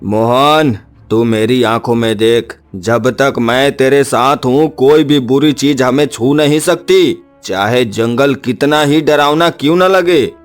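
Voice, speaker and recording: A man's voice: mid-range voice, slow, faint music behind, lightly acted. A man playing a poor, protective woodcutter father, earnest and reassuring, in a cartoon story.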